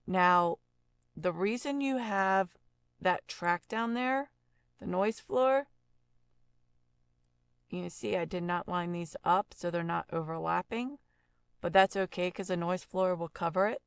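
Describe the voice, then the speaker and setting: Female tutorial host, informal and explanatory, lowish gravelly-edged voice, relaxed thinking-aloud delivery. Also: a little slow, long pauses, no echo. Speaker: voice actor giving an audio editing tutorial. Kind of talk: casual screen-recorded software tutorial.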